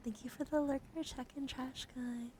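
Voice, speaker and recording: A woman livestream host speaking in a soothing, mostly whispered ASMR style, breathy and casual, with playful encouragement. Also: high-pitched, steady tone, normal speed, background noise. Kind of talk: whispered ASMR card reading with casual chat.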